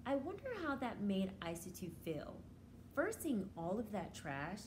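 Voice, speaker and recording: A woman teacher reading a story aloud to young children, expressively, pausing to explain things in simple words. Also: mid-range voice, noisy background. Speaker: preschool educator.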